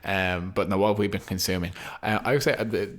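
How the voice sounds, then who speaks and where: A young man co-hosting a podcast in casual chat, dry and teasing, with drawn-out fillers. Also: low-pitched, fairly even intonation. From Ireland. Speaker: podcast co-host.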